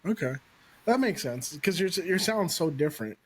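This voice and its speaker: Upbeat podcast host, a bit high. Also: male voice, animated, moderate pace, breathy edge, rough recording. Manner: enthusiastic, swears casually. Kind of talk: casual interview chat.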